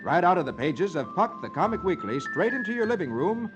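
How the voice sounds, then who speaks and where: A man's voice: high-pitched, sing-song, brisk, music in the background. A man hosting a 1950s children's radio show, reading comic strips in a jolly, theatrical storytelling style. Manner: jolly, exaggerated delivery. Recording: noisy old 1950s radio recording.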